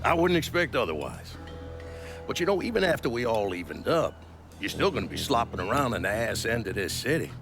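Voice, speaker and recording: An actor playing a gregarious but ruthless older mob boss in a video game, folksy, profane and always selling. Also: male voice, mid-range voice, sing-song, fairly quick, close to an everyday voice. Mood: measured, coaxing. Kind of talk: cinematic video game dialogue. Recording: music behind the voice.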